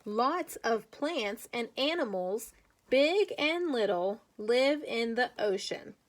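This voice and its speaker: A woman teacher reading aloud to young children with chatty asides, speaking deliberately and putting questions to her listeners in an encouraging way. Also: a little high, animated. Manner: slow, deliberate, emphatic when reading aloud.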